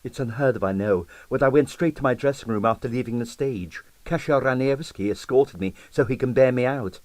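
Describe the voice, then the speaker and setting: A man's voice: mid-range voice, fairly quick, no echo, close to an everyday voice. A man reading the part of a candid, mischievous young ballerina in an audiobook, confiding and wry. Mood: confiding, upset.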